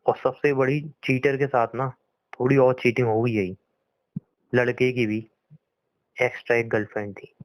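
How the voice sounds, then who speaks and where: A man's voice: medium pitch, flat delivery, normal speed, long pauses, low-quality recording. A young man arguing in a private phone conversation, insistent and pleading, often repeating himself. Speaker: boyfriend on a phone call.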